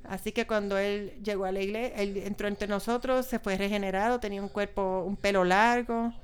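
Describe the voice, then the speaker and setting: A woman's voice: mid-range voice, fairly even intonation, normal speed, smooth. A woman preaching a sermon with anecdotes, repeating key phrases for emphasis. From Pittsburgh, United States.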